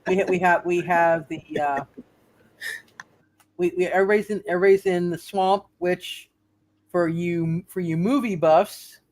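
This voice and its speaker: A man's voice: high-pitched, fairly even intonation, moderate pace, poor audio. A man narrating a live tabletop roleplaying game as game master, casually rambling and repeating his phrases.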